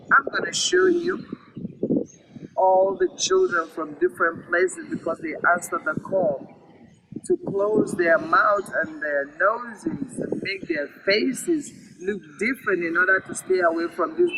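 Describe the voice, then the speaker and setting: A woman's voice: medium pitch, gravelly, distant mic. A woman telling a story aloud to children in a dramatic, emphatic way, repeating phrases for effect. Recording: noisy recording with loud background noise.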